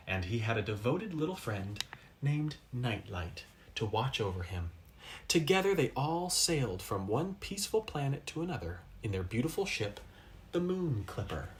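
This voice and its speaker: A man reading a children's story aloud expressively, with dramatic pauses for effect. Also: mid-range voice, very animated, noisy background. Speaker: storybook reader.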